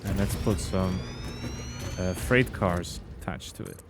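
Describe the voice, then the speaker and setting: A young man from Belgium, streaming a game and chatting casually with his viewers in a relaxed way. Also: deep voice, slow pace, loud music behind the voice. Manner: relaxed, chatty, reading and answering chat. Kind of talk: casual gameplay commentary with chat.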